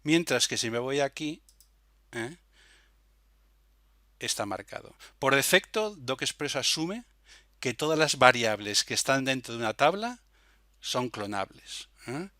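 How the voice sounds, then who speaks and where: A man presenting an unscripted software tutorial step by step, hesitant and often checking that listeners follow, close on the microphone. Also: mid-range voice, lively intonation, unhurried, long pauses, no room sound. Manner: hesitant, frequent verbal tags seeking confirmation.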